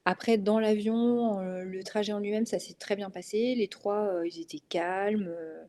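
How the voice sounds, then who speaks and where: A woman's voice: mid-range voice, fairly even intonation, normal speed, warm tone. A woman in her thirties with a northern French accent, a mother of three, talking casually as a guest with many hesitations. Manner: hesitant, many fillers and restarts. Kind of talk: casual interview chat.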